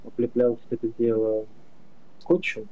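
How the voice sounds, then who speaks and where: Reflective man on a slightly dull recording. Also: medium pitch. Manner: reflective, pauses with drawn-out hums. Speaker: podcast co-host. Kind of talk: casual reminiscing chat.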